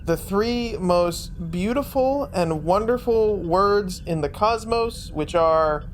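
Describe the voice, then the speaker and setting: A man's voice: high-pitched, slow pace, a little hiss or hum. A man playing a tabletop role-playing game, moving between casual banter and wordy, theatrical improvised lines.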